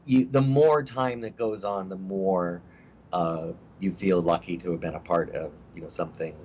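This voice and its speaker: A middle-aged actor talking in a casual interview, rambling with many fillers and restarts. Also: male voice, low voice, fairly even intonation, moderate pace, a little mellow. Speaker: actor guest. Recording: dull lo-fi audio with faint hiss.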